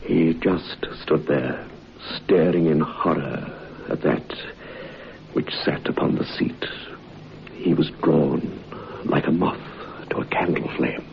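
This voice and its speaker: An actor playing a sombre man telling of a friend's horrifying ordeal in a suspenseful, measured radio drama style. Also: a bass voice, creaky, full character voice. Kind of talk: dramatic radio horror narration. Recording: muffled, noisy old radio recording.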